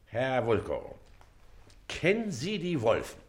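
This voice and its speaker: Pompous village magistrate, swooping delivery. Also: male voice, mid-range voice, wildly swooping pitch, takes it slowly. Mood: pompous, lecturing. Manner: pompous, officious, emphatic. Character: pompous, suspicious Prussian village magistrate, self-assured and blustering. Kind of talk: classic German radio play acting.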